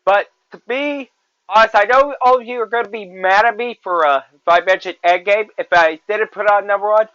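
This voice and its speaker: Young man in his twenties, podcast host; high, bright voice; rambles and repeats himself; dry, muffled lo-fi recording. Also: a little fast, no echo. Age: 20s. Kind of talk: solo movie review podcast.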